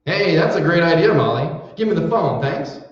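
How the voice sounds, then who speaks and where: A man's voice: on the high side, lively intonation, normal speed, natural voice. A man playing a boastful, blustering, folksy husband in a 1940s-style radio comedy. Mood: chatty. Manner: blustery, chatty, theatrical. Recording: very echoey stage, distant dull mic.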